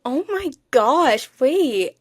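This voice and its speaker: A woman's voice: sits high, big pitch swings, drawn-out words, no room sound, studio quality. A young woman co-hosting a podcast in casual chat, talking in a gushing, emphatic fan style. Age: young adult. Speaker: podcast co-host.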